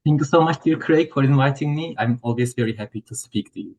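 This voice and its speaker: A man's voice: medium pitch, fast talker, a little mellow, low-quality recording. A man in his forties with a Turkish accent speaks over a video call in a mid-range voice. He is earnest and reflective, explaining his path with occasional light humor. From Turkey. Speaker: guest scholar. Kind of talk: interview answers.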